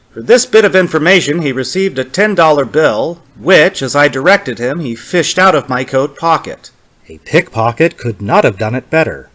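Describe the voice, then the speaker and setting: A man's voice: fairly high pitch, fairly bright tone. A man playing a lonely, ailing bachelor professor who narrates an old horror story in a formal, precise, brooding way. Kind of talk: dramatic reading of a 1930s horror story.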